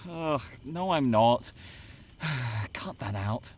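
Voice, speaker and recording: A man's voice: medium pitch, animated, extremely slow, a little mellow. A man playing a dismissive, grumpy young male camp counselor in an audio drama, snide and condescending. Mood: annoyed.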